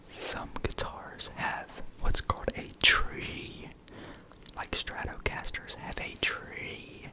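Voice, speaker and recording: A person whispering an informal ASMR guitar lesson, hesitating and repeating key words. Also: low-quality recording. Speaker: guitar explainer.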